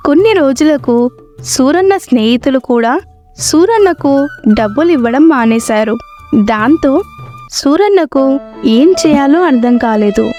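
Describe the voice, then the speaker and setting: A woman narrates a scripted moral story as the storyteller. Her voice is very high, and soft music plays faintly behind her. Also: lively intonation, measured pace, long pauses. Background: faint background music.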